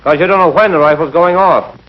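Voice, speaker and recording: A man's voice: fairly high pitch, fairly quick, close to an everyday voice. A man playing an obedient young army trainee, reciting lessons in a scripted 1940s training film. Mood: dutiful. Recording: dull old film soundtrack, slightly distant.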